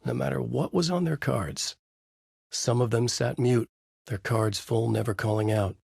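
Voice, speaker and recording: An actor playing a brooding writer who narrates his dark thriller in a grave, deliberate, noir style, as in a video game. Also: mid-range voice, creaky, husky, no room sound, studio quality, exaggerated voice. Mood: grim. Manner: grave, deliberate, moody storytelling.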